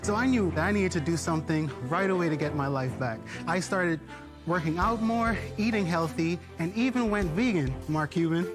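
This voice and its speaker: Upbeat young man from the Bahamas pitching. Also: lively intonation, moderate pace, music in the background. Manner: upbeat, emphatic, salesman-like. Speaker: entrepreneur pitching. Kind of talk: energetic sales pitch.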